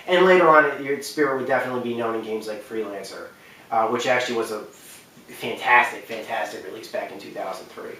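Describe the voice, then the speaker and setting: A man's voice: mid-range voice, fairly quick, pushed and bright, slight background noise. A man hosting a video game countdown with casual, unscripted commentary, stressing and repeating words for emphasis. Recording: very roomy echo, distant from mic.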